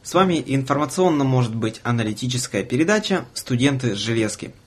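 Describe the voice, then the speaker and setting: Teasing man hosting a radio show, slightly rough voice. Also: mid-range voice, lively intonation, a little fast. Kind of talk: playful casual interview banter.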